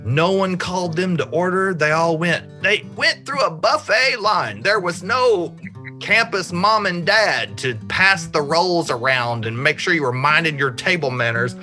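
Bright male voice, middle-aged, from the southern US, expressive anecdotal storytelling, deliberate emphasis, video call, light guitar backing. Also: on the high side. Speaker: storyteller, interview guest. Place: home office. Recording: video call with music behind.

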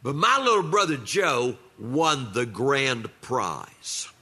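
A man's voice: mid-range voice, sing-song, takes it slowly, pushed and bright, breathy edge. An older man telling humorous family stories in a folksy, conversational manner, acting out the dialogue of relatives as he goes. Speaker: storyteller. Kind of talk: live humorous family storytelling.

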